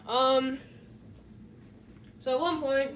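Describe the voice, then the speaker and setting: High-voiced woman rambling through casual commentary, noisy recording. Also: fairly even intonation. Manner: halting, rambling, repeats and restarts phrases. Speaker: video essayist. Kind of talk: casual unscripted video essay commentary.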